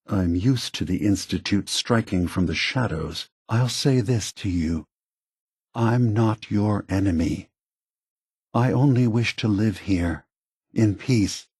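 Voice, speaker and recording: An actor playing a gentle, weary old male android leader in a video game, husky and soft-spoken, with a measured, contemplative, earnest manner. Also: fairly low pitch, no room sound, clean studio sound. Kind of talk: video game character dialogue.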